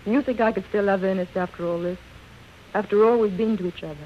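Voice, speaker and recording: A woman's voice: medium pitch, fairly even intonation, fast talker, close to an everyday voice. An actress playing a romantic, pleading nineteen-year-old society girl in old-time radio drama style. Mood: earnest. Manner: romantic, earnest. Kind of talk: 1930s-40s radio drama acting. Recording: muffled, noisy vintage radio broadcast.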